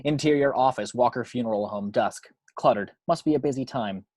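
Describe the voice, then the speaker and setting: A man's voice: medium pitch, brisk, a little mellow, no room sound, clean studio sound. A man reading screenplay action and scene headings as narrator for a table read at home. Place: at home, dry close recording.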